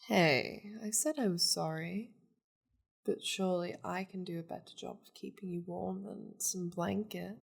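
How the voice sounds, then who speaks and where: A woman's voice: fairly low pitch, fairly even intonation, exaggerated voice. A woman voicing a seductive, possessive demon of war in an intimate ASMR roleplay, teasing and domineering, often slipping toward a whisper. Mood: cooing affectionate. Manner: slow, breathy, teasing, often dropping into whispers.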